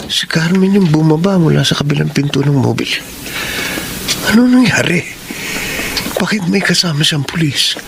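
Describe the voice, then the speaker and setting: A man's voice: on the high side, creaky. An actor playing a wary, defiant man who stands up to intruders in a dramatic radio play. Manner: dramatic, confrontational. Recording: noisy radio drama with background ambience.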